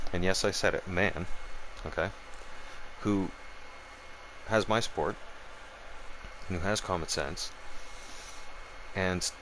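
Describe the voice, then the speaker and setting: A man with a deep, low voice holds forth on his live stream. He swears freely, repeats himself and keeps checking in with "right?" and "okay?". A faint background hiss sits under him. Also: a bit bright, low-quality recording. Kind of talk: angry political rant on a live stream.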